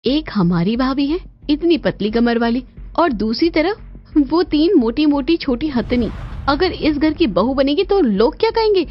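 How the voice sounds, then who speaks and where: A woman's voice: sits high, very animated, unhurried, dull audio. A woman playing a mocking, gossipy young sister-in-law in a family story, teasing and sarcastic. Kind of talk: dramatized family story voice acting.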